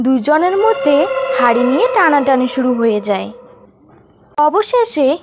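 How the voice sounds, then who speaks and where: A woman's voice: sits high, big pitch swings, normal speed, very noisy. A woman reading scripted narration for a children's cartoon story, with music behind her.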